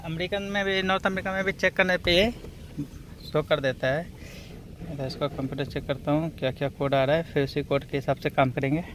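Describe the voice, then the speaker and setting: Guy doing a hands-on car repair walkthrough, kinda high sharp voice, casual, some engine noise in the background. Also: steady tone, fairly quick. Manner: informal, repeatedly checking in with short tags. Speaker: auto electrician and video host. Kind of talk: hands-on car repair tutorial, explaining step by step. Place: in and beside a car, at the engine bay. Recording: noisy handheld workshop recording with engine noise.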